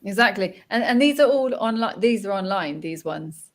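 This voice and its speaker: A woman's voice: medium pitch, expressive, moderate pace. A woman hosting an interview in casual chat, encouraging her guest and affirming what she hears. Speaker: interviewer, host.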